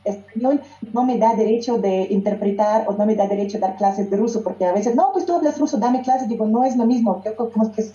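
A woman's voice: a little high, a little fast, hardly any pauses, mellow. A woman with a Russian accent, a native Russian speaker, who is a singer and music teacher and speaks hesitantly, often restarting and searching for words. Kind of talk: interview conversation. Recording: roomy echo, dull lo-fi sound.

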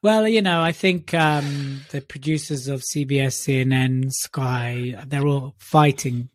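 A man's voice: medium pitch, a little slow, no room sound, studio quality. A man co-hosting a podcast, teasing and joking in casual chat, with frequent "um"s and "uh"s. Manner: joking and teasing, many filler ums. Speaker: podcast co-host.

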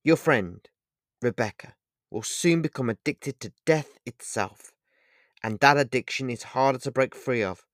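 A man's voice: medium pitch, animated, measured pace, no room sound, clean studio sound, close to an everyday voice. A man voicing a mysterious, angel-like stranger who speaks in a solemn, commanding way.

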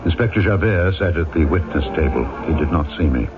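An actor playing a tormented reformed convict turned mayor, grave and deliberate, in an old-time radio drama. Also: male voice, a bass voice, expressive, over loud music. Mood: grave, reflective. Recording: tinny old radio recording.

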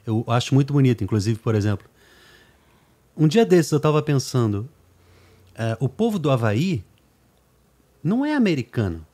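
A man's voice: mid-range voice, big pitch swings, moderate pace, pauses often, dark tone. A man interviewing a guest in a chatty, rambling way, often checking in with tag questions. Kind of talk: interview conversation.

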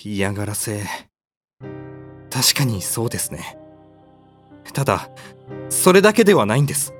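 A man playing a timid, cowardly young mortal hero in a comedic audio drama, polite but whiny, flustered and quick to protest. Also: lowish voice, big pitch swings, soft background music. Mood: downcast.

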